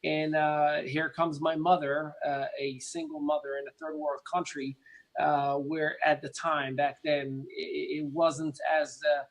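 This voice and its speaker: A man's voice: on the high side, level tone, a little slow, creaky, low-quality recording. Earnest Moroccan man telling his story. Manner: earnest, repeats words and restarts, many fillers. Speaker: interview guest. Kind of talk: conversational storytelling interview.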